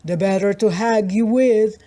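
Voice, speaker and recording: A woman doing the gruff, sly big bad wolf. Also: mid-range voice, unhurried, dark tone, natural voice. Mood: disguised. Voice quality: gruff, falsely polite.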